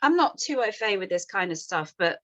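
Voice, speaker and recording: A woman's voice: medium pitch, very animated, normal speed, a bit bright. A woman giving informal feedback as a script panel reader, hesitant, rambling and apologetic. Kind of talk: informal spoken critique.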